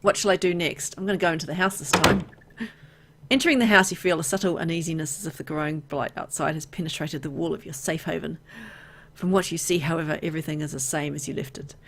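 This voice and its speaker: A woman with a fairly low voice and plenty of vocal fry narrates a story game. She reads the text aloud like a storyteller, then slips into casual, playful remarks of her own as a player. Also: steady tone, quick pace, a bit bright, slight background noise. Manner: reacts playfully to the story with exclamations and asides. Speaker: video game let's player.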